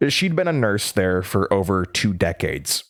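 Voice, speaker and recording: A man's voice: low-pitched, sing-song, measured pace, a bit bright, heavily acted. An actor playing a nervous, earnest male researcher, narrating horror audio drama in a hesitant, stammering, confiding way. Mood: uneasy, reflective. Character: nervous, earnest male horror-radio researcher recounting his case notes.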